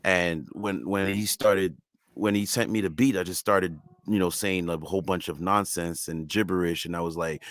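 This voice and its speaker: A man's voice: sits low, fairly even intonation, moderate pace, no echo. A man whose first language is French, talking casually as a podcast guest, loose and repeating words as he finds his thoughts. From Montreal, Canada. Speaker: guest, hip-hop artist.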